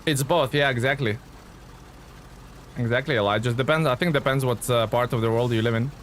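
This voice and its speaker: A man from Lithuania, a game streamer chatting casually with viewers in a relaxed, rambling way. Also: mid-range voice, fairly quick, slight background noise. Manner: relaxed, chatty, many fillers and restarts. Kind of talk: casual live-stream chat reading viewer comments.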